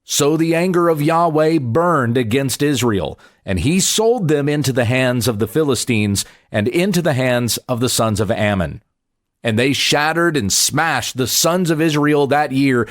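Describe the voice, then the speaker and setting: Expressive man reading scripture, widely varied intonation. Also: medium pitch, a little slow. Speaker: pastor.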